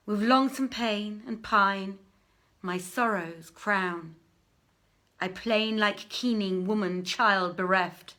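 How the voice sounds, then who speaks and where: A woman voicing a weary, lovesick young prince in a storybook, declaiming in a fervent, drawn-out manner. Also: on the low side, pushed and bright, exaggerated voice. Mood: mournful. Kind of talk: storybook verse recitation.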